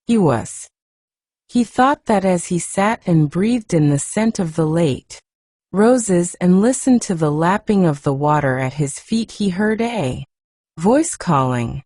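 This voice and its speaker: A woman narrating an audiobook as a soothing bedtime story, in a soft, measured, hushed manner with lingering pauses. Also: mid-range voice, no room sound, clean studio sound.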